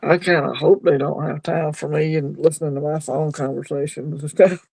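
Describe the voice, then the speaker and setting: A man hosting a casual livestream chat, rambling and easygoing, quick to laugh. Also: on the high side, flat delivery, vocal fry, darkish tone. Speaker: livestream host.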